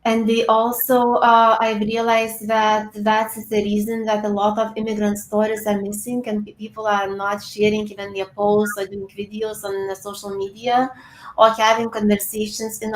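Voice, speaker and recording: A woman from Lithuania with a Lithuanian accent, speaking earnestly over a video call as an interview guest, with frequent pauses and fillers. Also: mid-range voice, echoey room, poor audio. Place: home room with bookshelves.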